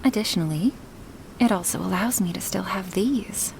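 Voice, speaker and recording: A woman playing a huge, gentle and affectionate female dragon in an ASMR roleplay, speaking softly and intimately to the listener in formal wording, often slipping toward a whisper. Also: mid-range voice, normal speed, vocal fry, slight background noise, natural voice, poor audio. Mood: proud, intimate.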